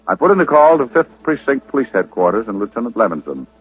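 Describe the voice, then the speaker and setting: An actor playing a wisecracking, hard-boiled private detective with a dry, sardonic delivery in old radio style. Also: male voice, mid-range voice, big pitch swings, fast talker, slightly rough. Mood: wry narration. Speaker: lead detective and narrator. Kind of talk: 1940s-50s radio detective acting. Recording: old radio broadcast, very narrow muffled band.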